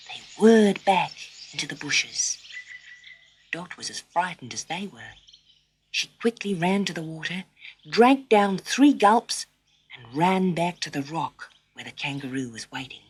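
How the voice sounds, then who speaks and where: Slow, expressive woman storyteller. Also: on the low side, a little hiss or hum. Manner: expressive, suspenseful, drops to hushed tones. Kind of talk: dramatic children's story narration.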